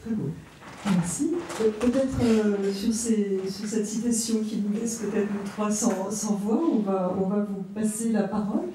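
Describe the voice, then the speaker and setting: A woman moderating a round-table discussion, speaking in a halting, gently affirming way. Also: mid-range voice, steady tone, background noise. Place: gallery room. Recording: echoey room, far from mic.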